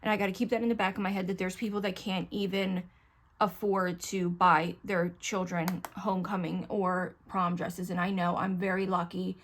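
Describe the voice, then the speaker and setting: A woman's voice: lowish voice, flat delivery, moderate pace, slight background noise. A middle-aged woman talking to the camera in a casual vlog, venting at length in a rambling, upset way. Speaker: vlogger.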